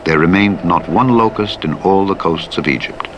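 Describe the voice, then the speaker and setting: A man reading scripture in a measured, formal and solemn style, as an audiobook narrator. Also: low-pitched. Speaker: Bible narrator. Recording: steady vehicle noise over playback. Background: truck simulator engine and road noise.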